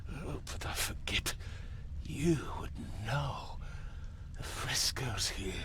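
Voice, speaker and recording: An actor playing a grave male doomsday prophet in a video game, theatrical and halting, with breathy speech that drops into whispers. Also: medium pitch, expressive, fairly bright tone, a little hiss or hum. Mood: weary, pleading. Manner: theatrical, halting, cryptic, formal. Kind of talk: dramatic video game voice acting.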